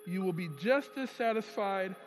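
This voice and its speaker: An actor playing a smooth-talking maker of lifelike marionettes in an old-time radio drama recreation, with assured salesman's patter. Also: sits high, moderate pace, faint music behind, natural voice. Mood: suave. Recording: long reverb on stage.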